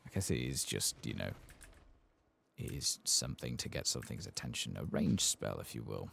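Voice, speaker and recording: A man softly murmuring casual ASMR commentary over a video game, close to the microphone. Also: rumbling low, very animated, normal speed, creaky, a little hiss or hum. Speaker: gameplay streamer.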